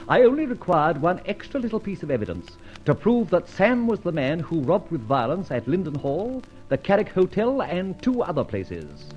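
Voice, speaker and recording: A self-assured gentleman sleuth from a vintage radio play. His fairly high voice swoops about with jaunty, teasing confidence. The recording is dull and old, with faint music. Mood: brisk storytelling. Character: confident, genial gentleman safe expert and amateur sleuth. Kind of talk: old-time radio drama acting.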